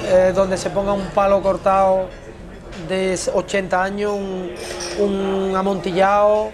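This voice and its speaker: A man in his fifties, a former footballer, talking in an unhurried, reflective way over lunch in a restaurant and often repeating words as he searches for them. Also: high-pitched, monotone, crowd noise. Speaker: interview guest, former footballer. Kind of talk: relaxed conversation over a meal. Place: restaurant by large windows.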